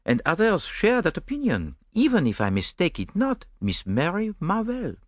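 A man's voice: sits high, huge pitch swings, normal speed, no room sound, studio quality, voice pushed up, full character voice. A man voicing a vain, fussy middle-aged Belgian detective with a Belgian French accent and a smug, theatrical manner. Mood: vain lecturing.

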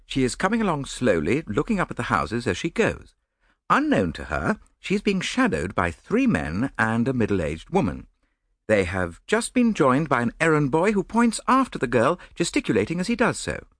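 A man voicing an earnest, romantic gentleman, eager and exclaiming. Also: medium pitch, wildly swooping pitch, moderate pace, no echo, clean studio sound, voice pushed up.

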